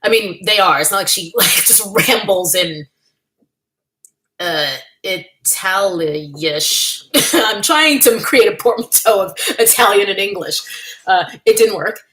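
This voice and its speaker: A woman's voice: medium pitch, expressive, a little slow, sharp tone. Candid, chatty woman livestreaming from home. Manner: chatty and candid, with frequent laughs and playful asides. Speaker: livestream host. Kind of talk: casual livestream chat, reading the chat.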